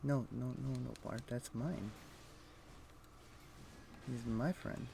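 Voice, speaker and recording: A man's voice: medium pitch, takes it slowly, gravelly, warm tone, slight background noise. A man casually commentating a game live for his chat, reacting in short offhand remarks. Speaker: game streamer.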